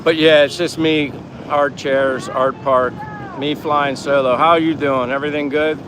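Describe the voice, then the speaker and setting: A man's voice: fairly high pitch, steady tone, measured pace. A man chatting casually and meandering through an informal livestream, reading out viewer comments. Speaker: livestream vlogger. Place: outdoors in the Philippines, in daytime. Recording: noisy outdoor ambience with wind, vehicles. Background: wind, vehicle and outdoor ambience noise.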